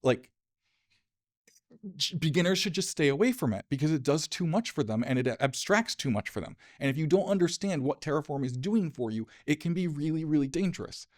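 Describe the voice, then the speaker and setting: A man's voice: fairly high pitch, lively intonation, dry recording. Man in his thirties fielding audience questions at a podium; easygoing, laughs easily, dry close sound. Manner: casual, laughs often, quick asides. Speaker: conference speaker. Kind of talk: Q&A after a tech talk. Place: lecture room, at a podium. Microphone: podium mic, dry.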